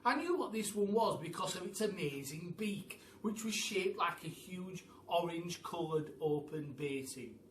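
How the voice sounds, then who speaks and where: A man's voice: high-pitched, expressive. Man reading a story in an echoey room. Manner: theatrical, with dramatic pauses and emphasis. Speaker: teacher reading a children's story aloud. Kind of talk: animated storytime reading in a child narrator's voice. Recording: roomy, distant mic with faint background noise.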